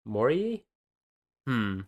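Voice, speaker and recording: A man's voice: mid-range voice, very animated, very slow, a little mellow. A man co-hosting a podcast, chatting casually about games and rambling with lots of fillers and restarts. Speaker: podcast co-host presenting game news.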